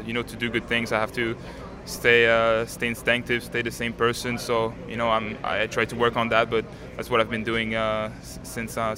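An eighteen-year-old man from Canada, a native French speaker, answering interview questions outdoors in a calm, composed way with many fillers. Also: mid-range voice. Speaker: tennis player, interviewee. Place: outdoors at a tennis stadium. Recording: crowd noise behind.

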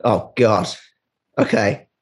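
A man's voice: fairly low pitch, very animated, slow pace, husky. A young man chatting casually as a comedian guest, with a dry, joking way of talking. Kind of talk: casual comic chat.

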